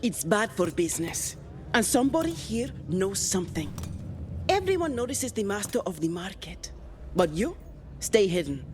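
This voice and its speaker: A woman's voice: medium pitch, very animated, moderate pace, close to an everyday voice. A woman playing a shrewd, secretive market master in a video game, talking in a knowing, cryptic way. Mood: knowing, guarded. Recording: clear ambient noise behind.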